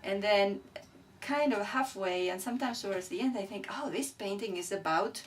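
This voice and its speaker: Woman, Spanish speaker, halting and reflective, somewhat far from the mic, faint background noise. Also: medium pitch. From Argentina. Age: middle-aged. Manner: thoughtful, halting, searching for words. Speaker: artist being interviewed. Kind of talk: reflective interview talk about own work. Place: indoors beside a displayed print.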